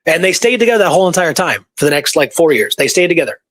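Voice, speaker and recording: A man's voice: fairly high pitch, very animated, rapid-fire, a bit bright. A man hosting a history talk, telling stories casually and going through dates and records in detail. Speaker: podcast host presenting historical research. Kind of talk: casual storytelling conversation.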